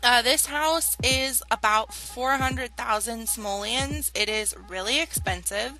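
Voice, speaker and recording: Chatty young woman, slightly croaky. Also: on the high side, unhurried, bright, forceful tone, soft background music, low-quality recording. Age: young adult. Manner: chatty, many fillers and "like"s. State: slightly hoarse from a cold. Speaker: Sims builder and channel host. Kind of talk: casual speed-build commentary.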